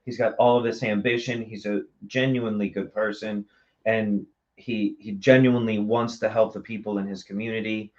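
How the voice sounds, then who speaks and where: A man speaking earnestly and haltingly as a theater guest in a casual interview. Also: fairly low pitch, little pitch movement. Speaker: theater company member and guest. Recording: dull, lo-fi sound.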